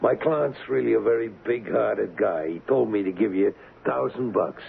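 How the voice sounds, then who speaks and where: Gravelly, cocky street-tough gangster. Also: male voice, on the low side, normal speed, narrow, tinny audio. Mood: cocky, menacing. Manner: slangy, taunting, rough grammar. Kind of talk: old-time radio crime drama acting. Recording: thin, band-limited, hissy old radio recording.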